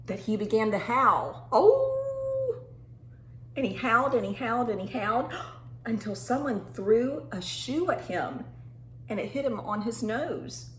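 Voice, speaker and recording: A woman reading a picture book aloud to young children in an animated, teacherly way, pausing to ask simple questions and stretching words for emphasis. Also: on the high side. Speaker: children's storytime reader and teacher. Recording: roomy echo with faint background noise.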